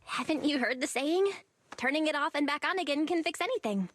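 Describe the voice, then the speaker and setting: A woman voicing a perky, quick-witted young tech operator in an anime-style game, teasing yet decisive. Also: high voice, expressive, fairly bright tone. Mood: resolute.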